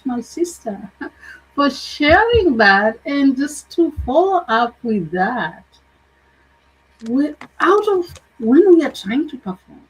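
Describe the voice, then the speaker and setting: A woman's voice: on the high side, slightly rough, low-quality recording. A woman hosting a conversation, asking thoughtful questions and affirming her guest. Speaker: podcast host. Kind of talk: interview conversation.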